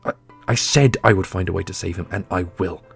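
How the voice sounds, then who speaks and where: A low, gravelly prince of a fantasy audio drama, played by a man, solemn and loyal. He speaks with earnest, heroic weight over orchestral music, every phrase set down deliberately. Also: full character voice. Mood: defiant, rousing. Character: solemn, loyal young prince and war leader, protective brother.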